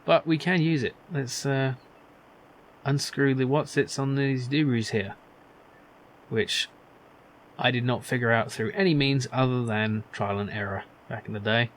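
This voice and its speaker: A man's voice: mid-range voice, fairly even intonation, unhurried. Wry man musing over a game, noisy background. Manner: musing, wry, thinking aloud. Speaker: video game streamer. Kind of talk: casual let's play commentary.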